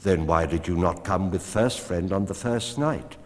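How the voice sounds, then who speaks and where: A man's voice: deep voice, moderate pace, lightly acted. A man voicing a haughty, teasing cave woman in a storybook, with a lofty, emphatic delivery. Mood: mocking. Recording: long hall reverb.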